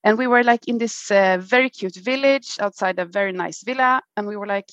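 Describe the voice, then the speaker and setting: A woman's voice: medium pitch, a little fast, a bit bright, dry recording, muffled recording. A woman hosting an interview in a chatty, upbeat way, laughing often. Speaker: podcast host. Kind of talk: casual interview.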